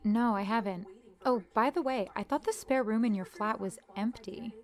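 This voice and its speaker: A woman's voice: on the high side, normal speed, natural voice. A young woman playing a polite, cautious guest in an amateur audio drama. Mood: wary.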